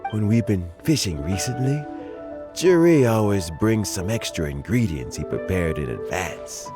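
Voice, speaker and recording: A gentle elderly mentor in a story-driven video game. His voice is on the low side and rises and falls expressively. He talks slowly and reflectively, like someone at ease with growing old, over clear background music. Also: sing-song. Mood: fond, savoring. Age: older. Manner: gentle, reflective, chuckling, deliberate. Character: elderly kindly mentor official nearing retirement, fond of fishing. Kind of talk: video game dialogue acting.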